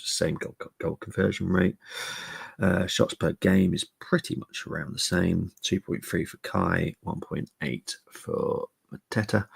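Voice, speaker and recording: A middle-aged man hosting a live football preview stream, speaking quietly while chatting casually with viewers. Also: sits low, no echo. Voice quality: gravelly. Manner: keeping voice down, casual stats chat with viewers. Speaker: fan channel host.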